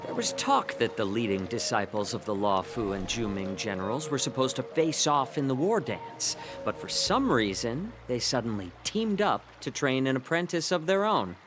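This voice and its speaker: Man voicing a courteous, lively-toned fox-man healer. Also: mid-range voice, lightly acted. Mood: wry. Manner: courteous, measured, gently needling. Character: polite, sly fox-man healer, smoothly composed adult. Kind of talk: anime game voice acting. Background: music.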